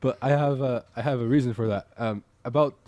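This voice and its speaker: A man in his twenties from Brazil with an Irish accent, chatting as a podcast guest, rambling with halting restarts and frequent laughs. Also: mid-range voice, warm tone, no room sound. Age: 20s. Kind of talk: casual chat.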